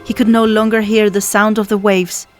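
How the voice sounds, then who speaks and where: A woman's voice: mid-range voice, flat delivery, moderate pace. A woman reading a children's story aloud as scripted narration, as an audiobook narrator does. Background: music.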